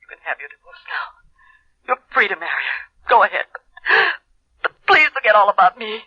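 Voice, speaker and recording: A woman's voice: mid-range voice, lively intonation, normal speed, no echo. A woman playing a sad, reluctant wife, subdued and wounded, through a telephone filter in a 1940s radio drama. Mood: hurt. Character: sad, reluctant wife being used by her schemer. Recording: dry, dull old radio phone line.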